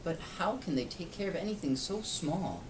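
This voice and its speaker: Male storyteller for a small child, animated and explanatory, picked up from a distance over background noise. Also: on the high side, moderate pace. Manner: explains and asks simple questions for a child. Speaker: read-aloud storyteller to a young child. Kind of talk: interactive picture-book reading.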